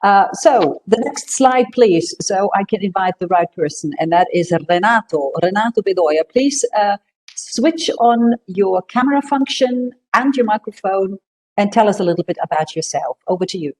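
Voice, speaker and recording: A woman runs an online panel over a video call in an upbeat, chatty and brisk manner. She keeps things moving and hands the floor from one speaker to the next. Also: medium pitch, expressive, a little mellow, rough recording. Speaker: moderator.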